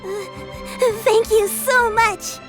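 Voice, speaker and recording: A woman's voice: very high-pitched, steady tone, very slow, faint music behind, full character voice. A woman voicing a polite, anxious young girl in a video game, with a hesitant way of speaking. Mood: shy, grateful.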